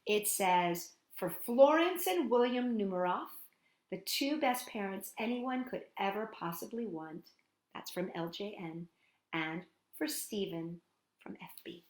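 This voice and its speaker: A woman hosting storytime, reading a picture book aloud to young children in an animated, playful way with chatty asides. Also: medium pitch, a little slow, roomy sound.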